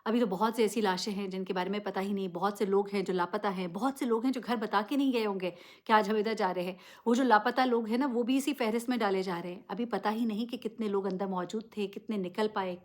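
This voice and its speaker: Female vlogger, mid-pitched, for off-the-cuff news commentary; emphatic, direct, questioning delivery. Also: quick pace, few pauses. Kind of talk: unscripted news commentary to camera.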